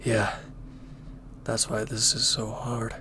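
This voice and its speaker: A man playing a former villain turned hesitant, conflicted lover, speaking earnestly and haltingly in an intimate audio roleplay. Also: fairly low pitch, monotone, bright, forceful tone, a little hiss or hum. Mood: regretful, earnest.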